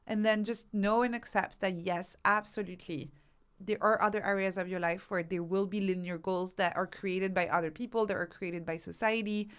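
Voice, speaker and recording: Clear-voiced woman giving emphatic advice. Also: medium pitch, fairly even intonation, normal speed. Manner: emphatic, uses repetition and rhetorical questions. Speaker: podcast guest, expert author. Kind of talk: conversational explanation and advice.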